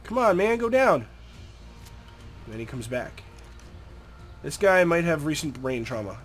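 A man's voice: on the high side, sing-song, unhurried, soft background music. Congested, nasal young man narrating a game. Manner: chatty, easygoing, talks to the creatures. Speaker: gameplay commentator. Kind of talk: casual gameplay commentary.

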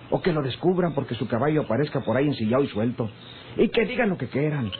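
A man's voice: medium pitch, natural voice. Casting: man playing callous rural Mexican henchman, respectful to his boss, radio-play style, noisy narrow-band recording. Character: cold-blooded scheming hired gunman plotting murder, deferential. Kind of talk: old radio drama acting. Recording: noisy, tinny old radio drama recording.

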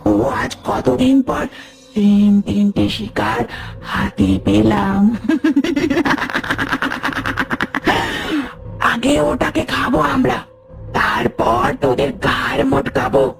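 A woman playing a sly, menacing old ghost crone in a cartoon horror story, with a cackling voice and a drawn-out, taunting manner. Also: mid-range voice, big pitch swings, creaky, over music, heavily acted. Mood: menacing, gloating.

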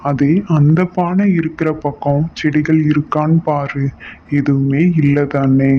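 A man's voice: fairly high pitch, flat delivery, a little slow, natural voice. A man playing a kind household master who speaks reassuringly and patiently in a children's cartoon story. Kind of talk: children's moral story acting. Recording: dull lo-fi sound, faint noise.

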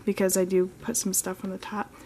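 A woman's voice: medium pitch, level tone, fairly quick, warm tone. Chatty woman giving a casual tour. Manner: chatty, filler-heavy, frequent "like" and "um". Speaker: model horse channel host. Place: carpeted room indoors. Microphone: handheld camera filming close.

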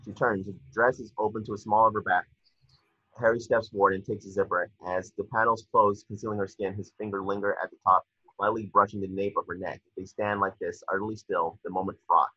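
A man with a husky voice reading screen directions as narrator at a table read over a video call. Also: lowish voice, little pitch movement, fairly quick, bright, forceful tone, no room sound. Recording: muffled lo-fi video call audio.

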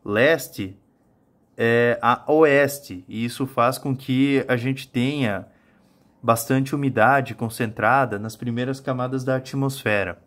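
A man's voice: mid-range voice, slow, a bit bright. A man in his thirties with a southern Brazilian accent, giving a colloquial weather forecast as a meteorologist and often checking in with his viewers. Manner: colloquial, explanatory, addresses viewers with frequent check-in tags. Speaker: meteorologist, weather channel host. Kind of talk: informal weather forecast to camera. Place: small home office with monitors, indoors.

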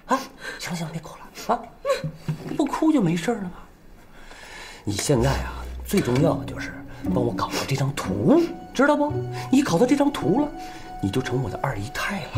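A blustering military officer, whose pitch leaps all over the place. He cajoles and bullies like a lecherous schemer in a television comedy, with strong music behind him. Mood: wheedling. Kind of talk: broad television comedy-drama acting.

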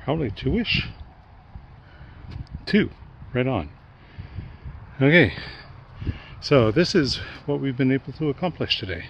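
A man's voice: medium pitch, unhurried, pauses often. An older man casually narrating a walkthrough of his homestead project, outdoors, talking into a handheld camera with plenty of ums and uhs. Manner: relaxed, hesitant with many fillers. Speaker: homesteading vlogger. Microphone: handheld camera at arm's length. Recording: dull lo-fi outdoor sound with clear wind noise.

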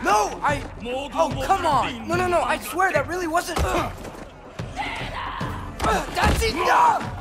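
A young man playing an earnest, nervy teenage boy adventurer in a video game, flustered, halting and protesting. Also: very high voice, sing-song, shouting, loud music behind the voice, a loud crowd, exaggerated voice. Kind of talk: dramatic video game acting.

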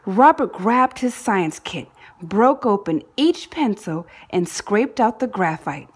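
A woman reads a children's story aloud at an unhurried pace. Her pitch moves with plenty of animation, and her voice has a husky, slightly rough edge. She phrases deliberately, giving key words dramatic weight. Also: lively intonation. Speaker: storybook reader. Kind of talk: expressive children's read-aloud narration.

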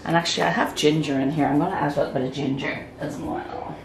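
A woman hosting a home cooking demonstration, chatty and self-mocking as she talks through each step, her voice croaky from lack of sleep. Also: sits low, fairly even intonation, fast talker. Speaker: home cooking channel host. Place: home kitchen. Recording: echoey noisy kitchen.

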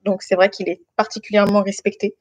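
A woman in her thirties from France, speaking informally and haltingly as she shares a personal testimony with a group. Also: mid-range voice, level tone, breathy edge, no room sound. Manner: hesitant, halting delivery with many pauses and repetitions. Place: living room at home.

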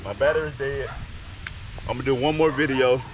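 A man's voice: mid-range voice, a little fast, lo-fi sound. Southern man in a noisy outdoor recording. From Arkansas and Louisiana, United States. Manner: excited, repeats himself. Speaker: fishing channel host. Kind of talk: casual vlog talk. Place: outdoors by a neighborhood pond.

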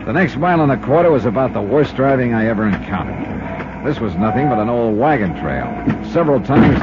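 On a dull old radio recording, an actor plays a tough American male investigator with a very animated, swooping delivery. He is dry, wry and clipped, in the hard-boiled style of fifties radio drama. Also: medium pitch, natural voice. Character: tough, wry male insurance investigator narrating his own case. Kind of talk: 1950s radio detective drama acting and narration.

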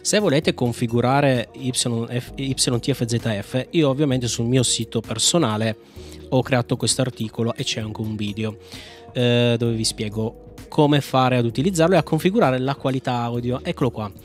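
A man from Italy hosting a casual software walkthrough, chatting informally and thinking aloud as he tries commands. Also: medium pitch, normal speed, faint music behind. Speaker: tech tutorial host. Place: indoor room at a computer, screencast with small webcam.

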